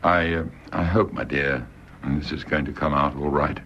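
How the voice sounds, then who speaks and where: An actor playing a courteous, concerned English family doctor in a radio drama, polite and hesitant. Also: male voice, rumbling low, fairly even intonation, moderate pace, fairly bright tone, muffled recording, slight background noise. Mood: grave, concerned. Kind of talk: British radio drama acting.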